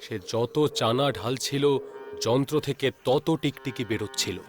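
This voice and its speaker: A male storyteller reads a scripted ghost tale. His voice is mid-pitched, and music plays clearly behind the narration. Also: normal speed.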